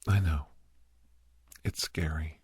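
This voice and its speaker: A man playing a gentle, reassuring doctor in a soft-spoken ASMR roleplay, with a husky, breathy voice and halting, hesitant delivery. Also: rumbling low, fairly even intonation, no room sound, exaggerated voice. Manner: soothing, hesitant, halting pauses. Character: gentle, reassuring male doctor counseling a nervous young werewolf.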